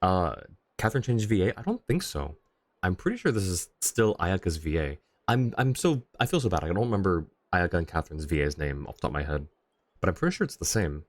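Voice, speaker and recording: A man's voice: low-pitched, no echo. A man livestreaming a game, talking casually and conversationally as he reads out and answers chat comments. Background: faint game music.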